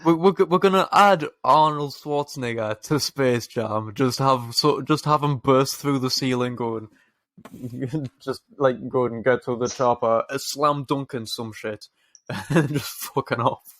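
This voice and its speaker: A man's voice: medium pitch, unhurried, a bit bright, dry recording. Young male co-host, sweary rambling banter, dead-dry close mic. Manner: sweary, rambling, laughs while talking. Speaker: podcast co-host. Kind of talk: casual podcast banter.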